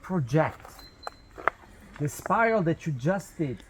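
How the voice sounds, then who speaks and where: A man leading a guided meditation outdoors, speaking in measured short phrases with pauses between them. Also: on the high side, very animated, noisy background.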